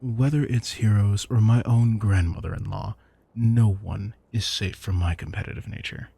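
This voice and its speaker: A man's voice: fairly low pitch, fairly even intonation, moderate pace, vocal fry, mellow, husky. A man playing an affectionate, theatrical retired supervillain turned doting house husband, teasing and mock-grandiose in an intimate audio roleplay. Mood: playful, competitive.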